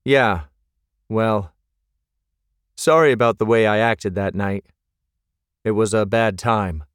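A man's voice: fairly low pitch, slow, clean tone, no room sound, clean studio sound. A man voicing a guarded, grieving young man. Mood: defensive. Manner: curt, defensive.

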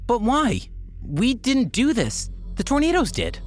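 A man's voice: huge pitch swings, a little slow, faint music behind, heavily acted. A man voicing an earnest, anxious teenage boy with a raised, pleading voice. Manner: pleading, high-pitched worried voice.

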